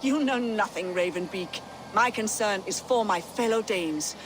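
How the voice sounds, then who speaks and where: An actress playing a proud, bitter Viking shield-maiden in a video game, with a defiant, clipped delivery. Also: female voice, medium pitch, measured pace, background noise, natural voice. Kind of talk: video game dramatic acting.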